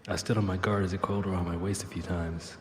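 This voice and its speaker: A man's voice: deep voice, level tone, quick pace, natural voice. A man voicing a flippant, rambling cyborg who narrates his own escape in an audio drama. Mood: narrating, wary. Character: wisecracking male cyborg with a robotic tail, dazed and flippant. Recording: echoey, long reverb, noisy background.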